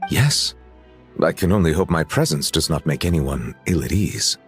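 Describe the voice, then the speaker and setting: A man's voice: rumbling low, expressive, moderate pace, soft background music. A man voicing a dignified, courteous dragon judge in a video game, speaking formally and with awkward hesitation. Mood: formal, reserved.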